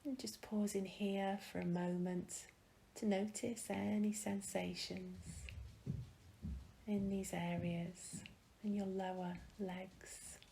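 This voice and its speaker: A woman leading a guided meditation in a soft, breathy, soothing manner with gentle pauses. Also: medium pitch, level tone, slight background noise, rough recording.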